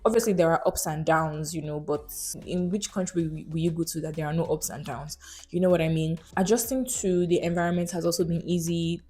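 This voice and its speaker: Young woman, Nigerian accent, lowish voice, casual frank vlog talk to camera. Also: fairly even intonation, fairly quick, darkish tone, slightly rough. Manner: chatty, frank, asks viewers rhetorical questions. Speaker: vlogger.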